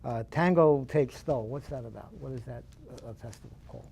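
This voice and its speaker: A man's voice: mid-range voice, brisk, vocal fry, mellow, breathy edge. A man hosting a TV studio interview, encouraging his guests and often answering "that's great". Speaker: TV host.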